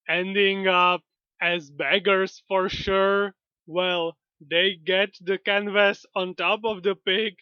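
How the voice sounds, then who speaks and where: Flat, slow-talking high-voiced man. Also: clear, resonant tone, no room sound. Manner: slow, even, unhurried retelling. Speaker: channel host. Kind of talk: retelling a comic story. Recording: dull lo-fi dry recording.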